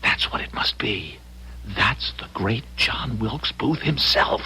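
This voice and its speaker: An actor in an old-time radio drama playing an earnest, desperate man, urgent and halting in his speech. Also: mid-range voice, expressive, vocal fry, in a whisper, low-quality recording. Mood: determined, tense. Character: earnest, desperate everyman trying to prevent a crime.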